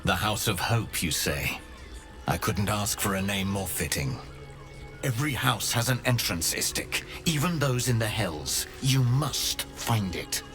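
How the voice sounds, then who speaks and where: Game role: knowing, eloquent adviser, a little low in register, wry, clear music underneath. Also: male voice, expressive, a little slow. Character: grave, knowing male adviser urging on a hero. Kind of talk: video game character acting. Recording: loud music behind the voice.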